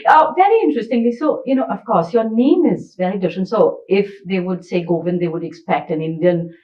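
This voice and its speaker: A woman hosts a relaxed panel chat with an expressive, conversational delivery. She sounds a little away from the microphone, and the recording is slightly muffled. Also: medium pitch, a little fast. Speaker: host. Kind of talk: casual panel discussion, storytelling. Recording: distant, slightly dull microphone.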